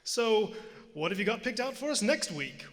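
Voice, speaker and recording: Man as high, animated radio announcer. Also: moderate pace. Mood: upbeat. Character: peppy old-time radio announcer selling sponsor's pomade. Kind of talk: old-time radio announcing. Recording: live stage with loud music.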